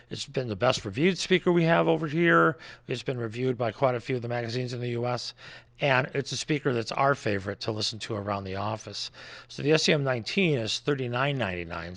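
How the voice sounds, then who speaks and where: An American man with a noticeably gravelly, creaky voice at an ordinary male pitch. He talks through products informally, explaining things in a plain-spoken way like a distributor showing his range. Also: fairly bright tone. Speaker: audio distributor presenting products.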